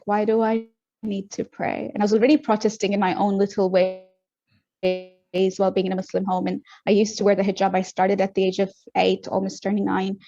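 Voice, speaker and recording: Young woman guest from tanzania, thoughtful and halting, bit muffled sound. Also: mid-range voice, dark tone. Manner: reflective, many ums and restarts. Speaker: podcast guest. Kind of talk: casual interview talk. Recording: muffled lo-fi audio.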